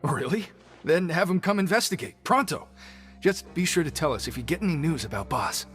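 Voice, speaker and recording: Game NPC: devoted crewman, animated and firm. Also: on the high side, a little fast, slightly rough, soft background music. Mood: loyal. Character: devoted male prisoner crew member, loyal to his boss.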